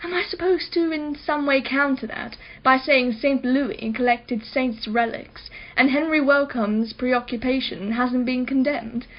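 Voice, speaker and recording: A haughty, wealthy woman collector in a radio drama. Her voice sits fairly high, and she speaks in an arch, witty, defensive way. The sound is slightly dull. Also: fairly even intonation, unhurried, hardly any pauses. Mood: sardonic. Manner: arch, articulate, sardonic. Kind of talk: radio play acting.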